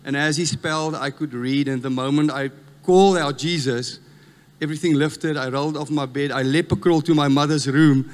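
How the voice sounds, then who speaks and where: A man in his fifties with a South African Afrikaans accent, preaching a sermon and telling stories with lively, self-mocking humour. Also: a little high, brisk. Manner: storytelling, animated, laughs at himself. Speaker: guest preacher. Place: church hall. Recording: long church reverb.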